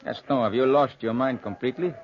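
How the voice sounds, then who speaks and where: An actor playing an incredulous, teasing soldier comrade in 1940s radio drama style. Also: male voice, mid-range voice, fast talker, close to an everyday voice. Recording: noisy, dull old radio recording.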